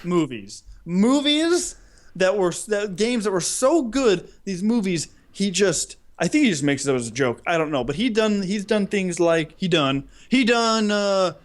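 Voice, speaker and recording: Rambling, higher-pitched man chatting. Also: unhurried. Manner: animated, rambling, acts out imagined dialogue. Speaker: podcast co-host. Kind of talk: casual humorous chat, storytelling.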